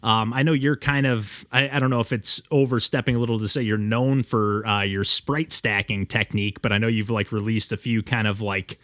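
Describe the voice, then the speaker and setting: A man's voice: lowish voice, fairly even intonation, normal speed, few pauses, fairly bright tone, no room sound. Rambling male podcast host on a dry call. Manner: rambling, many filler "like"s and restarts. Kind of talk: casual interview chat. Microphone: remote call.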